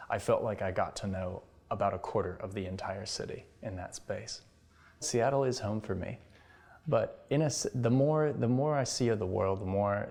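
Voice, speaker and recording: A man's voice: lowish voice, vocal fry, a little mellow. A man from the United States, speaking reflectively in a documentary interview.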